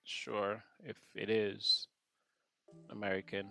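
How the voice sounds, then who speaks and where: A man's voice: on the low side, level tone, slow pace, gravelly, bright, forceful tone. A man from Jamaica hosting a live trading stream, chatting casually and joking with viewers in the chat. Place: home setup, UK.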